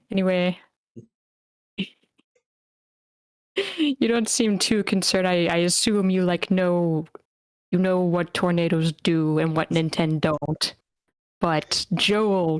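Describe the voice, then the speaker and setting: Female moderator, lowish voice, dry close sound, wry and self-deprecating in casual conversation. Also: fairly even intonation, takes it slowly, pauses often, clean tone, no room sound. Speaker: moderator of an online table read. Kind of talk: casual chat. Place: online meeting.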